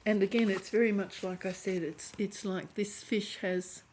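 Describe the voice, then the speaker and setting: A woman giving a chatty tarot reading to camera, hoarse and often clearing her throat as her voice fades. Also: medium pitch, steady tone, moderate pace, darkish tone, a little hiss or hum. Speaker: tarot reader.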